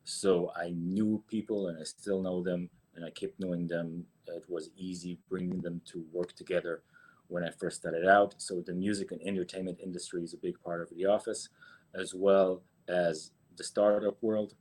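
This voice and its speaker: Very deep-voiced Israeli man. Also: flat delivery, moderate pace, darkish tone. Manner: hesitant, many fillers and restarts. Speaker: guest, agency founder. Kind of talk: casual interview.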